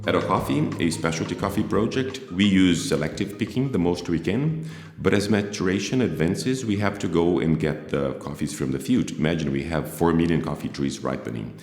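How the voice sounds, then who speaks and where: A man explaining his work in an interview, pausing now and then as he searches for words. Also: rumbling low, a little mellow. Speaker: coffee company CEO. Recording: long reverb, music behind. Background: background music.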